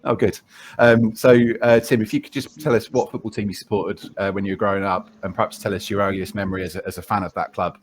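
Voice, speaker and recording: A man's voice: on the low side, steady tone, quick pace, slight background noise. A man hosting a podcast conversationally, asking polite, rambling questions. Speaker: podcast host.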